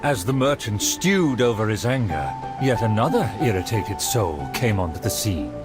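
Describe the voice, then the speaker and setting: An actor narrating a storybook-like play in a game, in a formal, dramatic storytelling manner. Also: male voice, fairly low pitch, very animated, moderate pace, over loud music. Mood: stately. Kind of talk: scripted theatrical narration.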